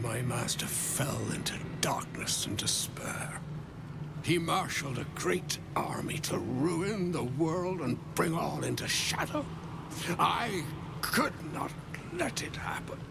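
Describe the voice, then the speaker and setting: An actor playing a weary, dignified damned architect in a fantasy game, solemn and halting, often sinking to a near-whisper. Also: male voice, mid-range voice, creaky, very noisy. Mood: regretful. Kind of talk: dramatic video game acting. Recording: prominent music behind the voice.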